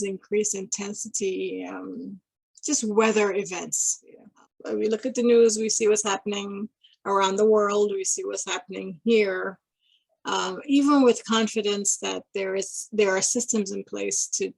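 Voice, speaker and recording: A middle-aged woman from Guyana talks slowly through her thoughts, pausing and restarting often. Her voice is husky with a gravelly edge, and it is recorded close and dry. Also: medium pitch, dry recording, rough recording. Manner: thoughtful, halting, many restarts and fillers. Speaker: interviewee. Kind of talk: casual reflective talk.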